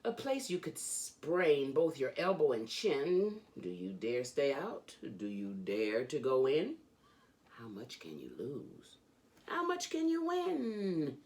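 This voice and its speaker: A woman hosting a children's read-along, reading a storybook aloud in an animated, sing-song storytelling manner. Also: a bass voice, slow.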